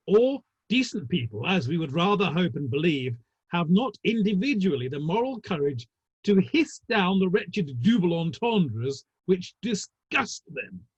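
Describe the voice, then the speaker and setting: A man playing a wry, urbane, gossipy Victorian theatre manager in a dramatic play reading, with arch, ornate delivery and a husky voice. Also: high-pitched, sing-song, measured pace, no room sound, lo-fi sound, exaggerated voice. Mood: wry exasperation. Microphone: online call.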